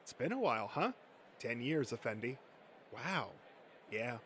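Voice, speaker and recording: A man's voice: mid-range voice, big pitch swings, unhurried, a bit bright. An easygoing but awkward grown-up comic geek, played by a man. He talks in a hesitant, self-conscious way, as a comic-dub character, with slight noise in the background. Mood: awkward friendliness.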